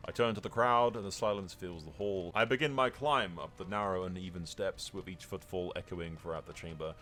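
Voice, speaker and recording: Solemn, formal fantasy king for game roleplay, read by a man, low register, measured delivery. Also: fairly bright tone. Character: solemn newly crowned medieval fantasy king. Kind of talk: scripted fantasy narration read aloud.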